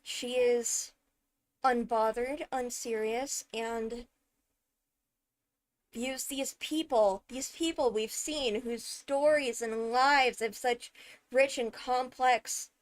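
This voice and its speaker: High-voiced woman with slow, dramatic delivery. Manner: dramatic, deliberate phrasing with emphatic pauses. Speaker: video creator analysing a game character. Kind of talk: enthusiastic fan commentary, thinking aloud.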